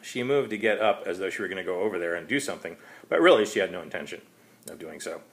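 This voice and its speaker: A man's voice: deep voice, lively intonation, fast. A man reading his own short story aloud as narrator, in a wry, conversational manner.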